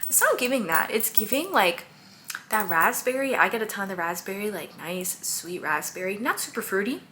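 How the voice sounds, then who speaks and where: A woman's voice: medium pitch, big pitch swings, moderate pace, pushed and bright, slight background noise. A woman chatting casually about perfumes she bought, gushing and listing scent notes with lots of fillers. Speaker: perfume content creator. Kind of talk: casual haul review.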